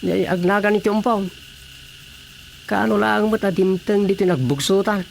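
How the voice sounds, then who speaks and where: Casting: gossipy, doubting village man, higher register with gravelly fry, radio comedy, faint noise behind. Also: moderate pace. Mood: suspicious. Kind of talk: Ilocano radio drama comedy acting.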